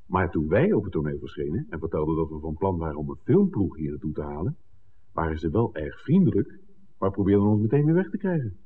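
Shrewd excitable filmmaker, 60s radio play, swoopy delivery, long echo on a phoney old recording. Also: male voice, sits low, fast talker, breathy edge, phone-quality sound, exaggerated voice. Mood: agitated, reasoning. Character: John, shrewd excitable filmmaker piecing together a smuggling plot. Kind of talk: 1960s Dutch radio drama acting.

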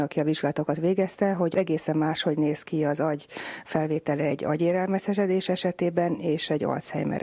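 A woman's voice: sits low, creaky, a little mellow, dry recording. A middle-aged woman giving a personal account in an interview, in plain, conversational language. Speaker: interviewee, relative of a patient.

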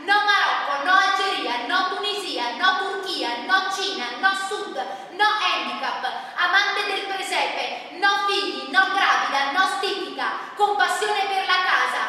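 A woman's voice: very high-pitched, expressive, measured pace, hardly any pauses, sharp tone, full character voice. A woman stage actor playing a caustic mock huckster, declaiming satirical lists in an insistent theatrical recitation. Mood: demanding, caustic. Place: theatre stage, recorded at a distance. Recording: echoey hall from far off, faint noise.

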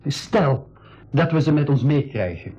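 An actor playing an earnest young nephew in a 1980s educational adventure radio play, practical in manner. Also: male voice, a little high, expressive, measured pace, dark tone. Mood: concerned, practical. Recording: dull 1980s radio recording.

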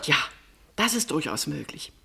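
A woman's voice: sits low, big pitch swings, normal speed, vocal fry, background noise, exaggerated voice. An actress playing a composed, suspicious middle-aged mother and mistress of the house in a German radio play.

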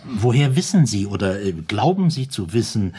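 Stiffly formal, husky private detective. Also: male voice, on the low side, big pitch swings, normal speed, mellow, exaggerated voice. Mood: probing, polite. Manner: stiffly polite, hesitant, over-formal phrasing. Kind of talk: German radio play acting.

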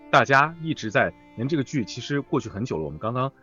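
A man chatting as a voice actor guest in a live interview, phrasing things haltingly. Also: mid-range voice, very animated, pushed and bright, muffled recording, faint music behind. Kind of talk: casual live interview chat.

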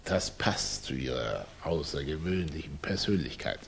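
An actor playing a cunning Russian émigré revolutionary in a German radio play, flattering and condescending, with florid, oily politeness. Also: male voice, very deep voice, moderate pace, vocal fry, a little hiss or hum, exaggerated voice. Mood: suave, probing.

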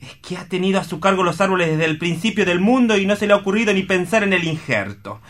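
A man's voice: high-pitched, expressive, fast, a bit bright. An actor playing a witty, cynical urban newspaperman in a radio play, declamatory and ironic. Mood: mock exasperation.

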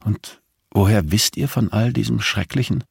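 Man voicing an appalled, questioning woman, pitch lifted. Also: normal speed, creaky, slightly rough, dry recording, studio quality, heavily acted. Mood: shocked. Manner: questioning, incredulous, earnest. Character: shocked, conscientious woman, disbelieving and morally appalled.

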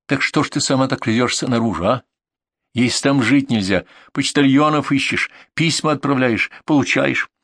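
The challenging young rival in an audiobook quarrel read by a male narrator, terse and prickly, medium-pitched, close and dry. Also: moderate pace, a bit bright, no echo. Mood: accusing. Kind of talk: dramatised audiobook dialogue.

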